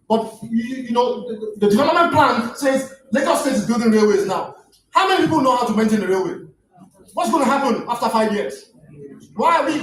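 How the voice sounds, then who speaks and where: Fast, high-voiced Nigerian man, Yoruba native, giving a fervent lecture from far off the mic in an echoey hall. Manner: impassioned, rising to near shouts, stammering restarts. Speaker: guest lecturer. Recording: echoey room, distant mic, faint noise.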